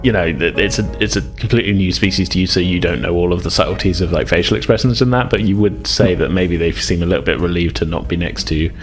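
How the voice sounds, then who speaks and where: A man's voice: low-pitched, fast talker, few pauses, faint music behind. A man acting as game master, casually improvising narration in informal, hedging, stop-start phrases.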